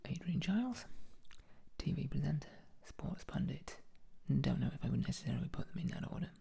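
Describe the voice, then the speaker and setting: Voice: mid-range voice, expressive, fairly quick, creaky, mellow. A speaker, whispering an ASMR commentary with dry, jokey asides while showing paintings.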